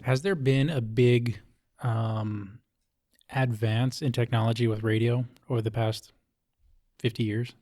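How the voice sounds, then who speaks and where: A man's voice: medium pitch, fairly even intonation, unhurried, dark tone. A man hosting a casual interview, asking questions in an informal, jokey way. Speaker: interview host.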